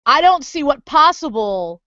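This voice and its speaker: A woman co-hosting a podcast in easy, casual chat, with a high and very lively voice. She speaks her mind, swears freely and laughs often. The sound is dry and a little dull. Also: a little slow, no room sound. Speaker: podcast co-host.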